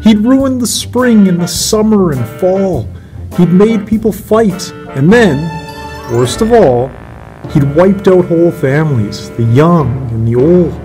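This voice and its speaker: Mellow, slow storybook narrator. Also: medium pitch, expressive, soft background music, poor audio. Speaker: story reader.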